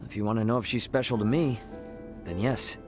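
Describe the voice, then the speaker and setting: An actor playing a plain-spoken, matter-of-fact young spacefarer in a video game, casual and direct. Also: male voice, medium pitch, brisk, over music, natural voice. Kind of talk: video game character acting.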